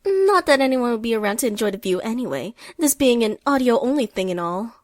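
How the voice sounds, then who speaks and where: A woman's voice: on the high side, animated, fairly quick, no echo, clean studio sound. A woman narrating a comic scripted story with a wry touch.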